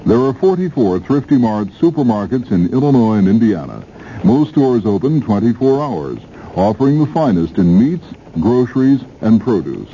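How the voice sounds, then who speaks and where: Male announcer, very dark warm tone, lively intonation, emphatic sales pitch, dull vintage radio sound. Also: moderate pace. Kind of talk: scripted local supermarket ad read. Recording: muffled, hissy 1970s radio recording.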